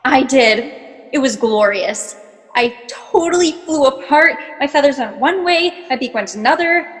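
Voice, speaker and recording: A woman's voice: sits high, lively intonation, measured pace, big-room sound. A woman playing a bored, haughty, sardonic shapeshifting bird in a sci-fi satire audio drama. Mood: flippant.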